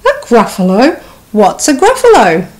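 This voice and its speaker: A woman's voice: fairly high pitch, huge pitch swings, measured pace, darkish tone. A woman voicing a sly, easily startled male fox in a children's storybook. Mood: coaxing.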